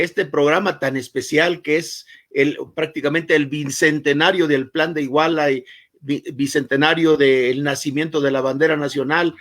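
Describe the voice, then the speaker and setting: Hesitant man with a higher voice. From Mexico, Costa Chica of Guerrero. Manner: hesitant, many filler "eh" pauses. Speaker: panelist, local chronicler. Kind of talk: formal virtual panel talk. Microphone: video call.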